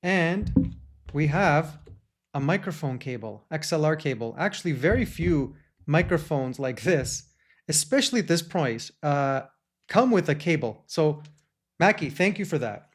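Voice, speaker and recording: A man's voice: on the high side, animated, a little slow. A man hosting a gear review, talking in an enthusiastic, chatty way with small restarts, recorded on a shotgun microphone. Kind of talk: product review talk.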